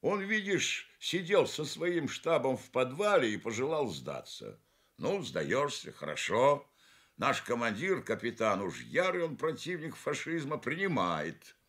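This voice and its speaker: An actor voicing a middle-aged Soviet sergeant who tells war stories in a colloquial, excited way for a radio reading. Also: male voice, mid-range voice, a little slow, voice pushed up. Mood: excited, proud.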